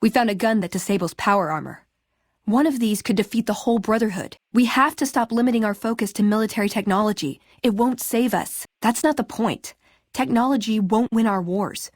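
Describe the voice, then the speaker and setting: A woman's voice: fairly high pitch, fairly quick, a little mellow, dry recording, clean studio sound, close to an everyday voice. An American actress playing a spirited, sarcastic young woman, a scrappy scavenger and brawler companion in a video game. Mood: earnest, frustrated. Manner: sarcastic, playful, quippy. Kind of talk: video game dialogue acting.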